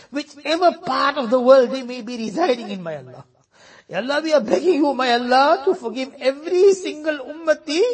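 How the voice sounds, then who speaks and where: A woman with a high voice leads a long supplication on a radio program. She pleads, often close to tears, and rises with emotion before each phrase falls soft and low. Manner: tearful, pleading, repeated refrain of "my Allah". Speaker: radio dua leader.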